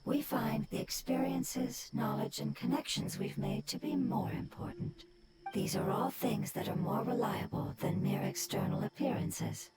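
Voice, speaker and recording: A woman voicing a soft-spoken wise goddess. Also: on the high side, heavy background noise, natural voice. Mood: calm. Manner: soft, measured, gentle explaining. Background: loud game music.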